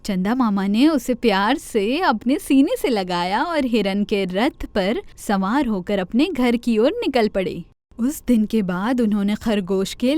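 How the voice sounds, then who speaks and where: High-voiced woman telling a kids' story. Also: no room sound. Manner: expressive storyteller, addresses children. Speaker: narrator. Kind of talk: scripted children's story narration.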